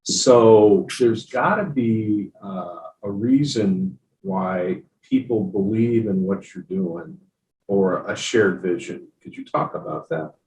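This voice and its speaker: A man moderating a panel, asking halting, measured questions. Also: on the low side, expressive, darkish tone, echoey room, recorded from a distance, poor audio.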